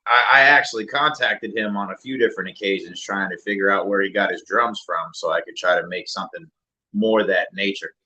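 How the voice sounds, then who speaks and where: A man, a music producer and rapper, talking casually and reflectively as an interview guest in a deeper mid-range voice. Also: brisk, poor audio. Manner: relaxed, reflective, many fillers.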